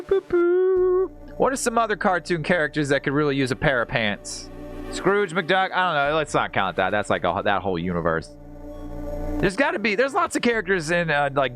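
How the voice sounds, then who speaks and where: A man with a slightly high voice that swings widely in pitch, hosting a casual game stream. He rambles and jokes with his viewers, swears freely and reacts aloud to the play, with clear game music behind him. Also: fairly bright tone. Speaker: live streamer and host. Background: video game music.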